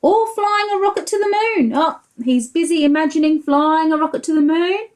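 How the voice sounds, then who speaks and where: A woman's voice: sits high, moderate pace, a little mellow. A woman preschool teacher reading a storybook aloud to young children, expressively, explaining as she goes and putting questions to the listeners.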